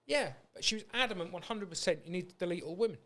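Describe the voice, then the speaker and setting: A man's voice: high-pitched, animated, fast talker, bright, forceful tone. A British man hosting a podcast in a casual, rambling, anecdotal way. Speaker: podcast host. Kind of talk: casual chat.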